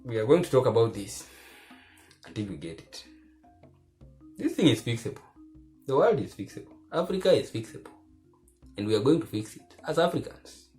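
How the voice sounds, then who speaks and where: A man giving earnest, preachy commentary to the camera, keeping his voice low because he is recording at home at night. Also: big pitch swings, moderate pace, pauses often, warm tone, soft background music, low-quality recording. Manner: earnest and preachy, repeats phrases for emphasis. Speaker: vlogger.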